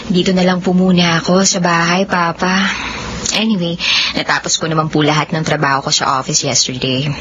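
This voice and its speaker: A woman playing a gentle, composed young blind woman in a Filipino radio drama, speaking politely. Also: lowish voice, little pitch movement, fairly quick, natural voice. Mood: quietly sad. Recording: muffled audio with faint background noise.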